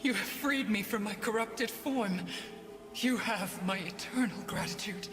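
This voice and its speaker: An actress playing a regal, solemn warrior spirit in a video game, speaking in a formal, deliberate way. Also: female voice, mid-range voice, in a large hall, over music, lightly acted. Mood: solemn, grateful.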